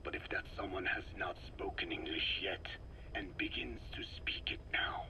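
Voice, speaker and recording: An actor voicing a grave, wise old man in a video game, speaking in a hushed, breathy whisper with solemn, deliberate phrasing. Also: low-pitched, poor audio. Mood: grave warning. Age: older. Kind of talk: scripted video game dialogue.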